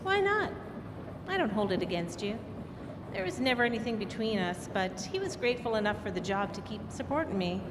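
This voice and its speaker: A woman's voice: mid-range voice, sing-song, brisk, close to an everyday voice. A woman playing a shrewd, scheming double-crosser in an old-time radio drama, recorded in a hall. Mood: conspiratorial, frank. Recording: noisy, echoey live hall recording.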